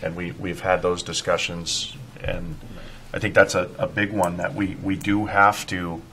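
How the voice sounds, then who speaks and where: A man with a low-pitched voice talks through his concerns as a board member. It is informal meeting speech in an indoor room, with faint noise behind it. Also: little pitch movement, a little slow, pushed and bright. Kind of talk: informal discussion in a public meeting.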